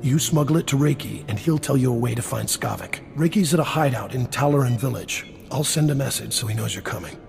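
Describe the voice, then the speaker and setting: Gravel-edged, smooth-talking shady dealer. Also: male voice, medium pitch, fairly quick, hardly any pauses, a little mellow. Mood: urgent, businesslike. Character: shady ruined male dealer, suave and wry. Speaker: game character actor. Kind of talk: video game voice acting. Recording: long reverb, music behind. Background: game music.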